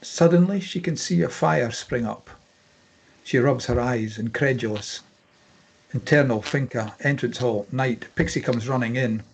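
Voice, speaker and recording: A man's voice: medium pitch, a little slow, creaky, slightly rough, rough recording. A man reading out screenplay stage directions as scripted narration at a table read.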